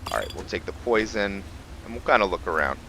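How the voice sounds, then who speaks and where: A man giving casual roleplay gameplay commentary as a let's-play host, haltingly thinking aloud as he goes. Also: fairly low pitch, lively intonation, bright, forceful tone, a little hiss or hum. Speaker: video game streamer, let's-play host.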